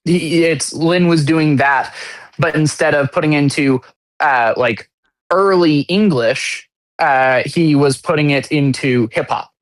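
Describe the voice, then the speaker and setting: A man's voice: on the high side, takes it slowly, dry recording. Enthusiastic man explaining, dry sound. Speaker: podcast co-host. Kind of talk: casual chat.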